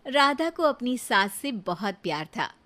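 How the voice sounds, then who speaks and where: High-voiced, slow-paced woman storyteller. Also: very animated, sharp tone. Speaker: narrator. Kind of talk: scripted moral-story narration.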